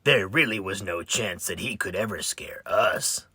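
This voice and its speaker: Storyteller as scary storybook pumpkin henchman, low pitch, husky rasp, gruff, menacing. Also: a little slow, sharp tone, dry recording, clean studio sound, exaggerated voice. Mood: gloomy.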